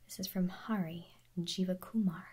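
Softly whispering young woman, mellow and husky. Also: lowish voice, steady tone, moderate pace. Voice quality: soft unvoiced whisper. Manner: chatty, rambling, self-correcting, giggly. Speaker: ASMR creator answering viewer questions. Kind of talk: whispered ASMR Q&A.

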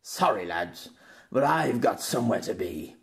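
A man's voice: fairly low pitch, sing-song, measured pace, vocal fry, heavily acted. A man voicing a defiant, cocky swordsman nearing sixty in an audiobook, with brash, taunting delivery. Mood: cool.

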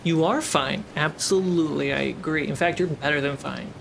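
A man's voice: on the high side, normal speed, gravelly, noisy background. A man playing a shaken but protective uncle aboard a ship, earnest and reassuring, in a tabletop game. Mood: earnest, guarded.

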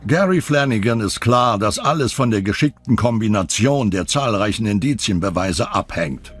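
Low-pitched male true-crime narrator. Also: expressive, normal speed. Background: background music.

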